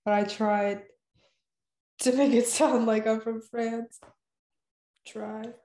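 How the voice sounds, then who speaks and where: A woman's voice: on the high side, fairly even intonation, takes it slowly, mellow, roomy sound. A young woman whose first language is Spanish, chatting casually and laughing often, with lots of "like" fillers. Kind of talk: casual chat.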